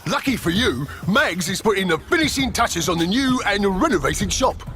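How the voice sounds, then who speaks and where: An actor voicing an excitable, folksy shopkeeper sidekick in a game cartoon, with quirky, wordy patter. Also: male voice, sits high, normal speed. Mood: relieved, chatty. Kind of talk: animated video game cutscene acting. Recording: music behind the voice.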